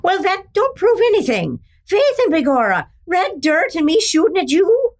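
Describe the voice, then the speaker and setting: Radio western villainess: crafty older outlaw matriarch, high and very animated, folksy and theatrical. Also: female voice, moderate pace, heavily acted. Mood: mock-innocent indignation. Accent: Irish brogue, later Texan. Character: sly older woman outlaw mastermind posing as Irish churchgoer. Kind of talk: old-time western radio acting.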